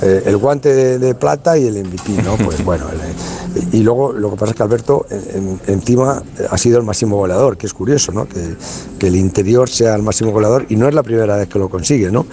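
A man's voice: on the low side, expressive, fairly quick. A man from Spain, organizer of a youth football tournament, talking in a casual radio interview, colloquial and full of restarts and tag questions.

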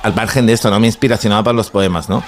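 A man's voice: lowish voice, fairly even intonation, very fast, a little hiss or hum, close to an everyday voice. A man from playing a dim, giggly organizer of an ape-bonding retreat, rambling and mangling words with English thrown in, in a radio comedy sketch. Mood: reciting.

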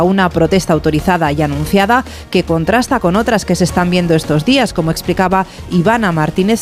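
A female radio reporter delivers a scripted news report. Her voice is slightly low for a woman, over soft music.

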